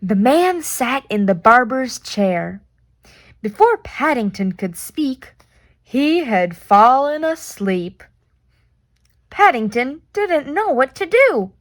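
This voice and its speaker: A woman reading a children's picture book aloud in an expressive, deliberate way with playful reactions. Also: a little high, dry recording.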